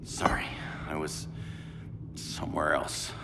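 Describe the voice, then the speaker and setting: A man's voice: slow, in a whisper, natural voice. A man playing a cocky, wisecracking superhero in a video game, with a wry, heroic manner. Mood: guarded. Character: cocky, wisecracking male superhero haunted by guilt. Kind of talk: dramatic video game acting. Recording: loud music behind.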